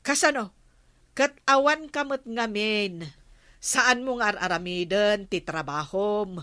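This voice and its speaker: A woman's voice: medium pitch, slow pace, a bit bright, smooth, no echo. A woman with an Ilocano accent playing a village captain's nagging, exasperated wife in a radio comedy drama. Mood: scolding.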